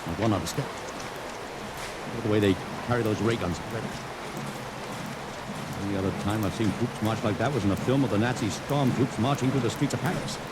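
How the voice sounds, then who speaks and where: A man playing a fast-talking, brash publicity man in a vintage radio drama. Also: mid-range voice, natural voice. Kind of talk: 1950s radio drama acting. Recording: old radio recording under loud rain noise.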